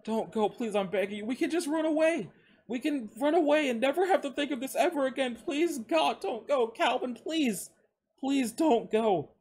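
A man's voice: extremely high pitch, expressive, normal speed, a little mellow, breathy edge, voice pushed up, exaggerated voice. A man voicing a wounded young man in an audiobook-style story, desperate and tearful, begging in a strained, cracking voice. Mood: tearful pleading. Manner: begging, gasping.

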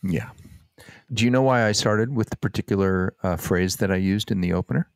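Guy cohosting a podcast, kinda low voice, jokey, dry close sound. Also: brisk, dry recording. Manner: friendly, joking. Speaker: podcast co-host. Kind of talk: casual podcast banter.

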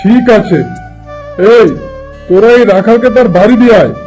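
Guy doing a stern scheming landlord dad from a cartoon, really high voice for a man, soft tone, music behind. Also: normal speed, close to an everyday voice, poor audio. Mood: relenting. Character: stern, domineering landlord father, middle-aged, scheming. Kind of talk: Bengali cartoon fairy-tale voice acting.

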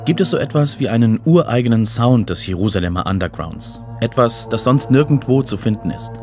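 A man's voice: mid-range voice, lively intonation, a little fast, warm tone. A man narrating a radio documentary from a script. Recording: music under the voice. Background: music.

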